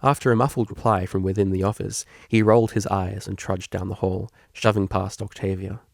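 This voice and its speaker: A man reading scripted fiction aloud as a narrator, with a creaky voice. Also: low-pitched, fairly even intonation, a little fast, dry recording, clean studio sound. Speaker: audiobook reader.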